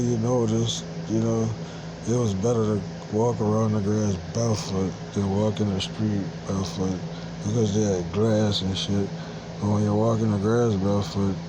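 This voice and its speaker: A congested man with a stuffed-up nose, rambling in a halting rant full of fillers, restarts and swearing. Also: fairly low pitch, noisy background. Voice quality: quiet, hard to hear. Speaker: vlogger.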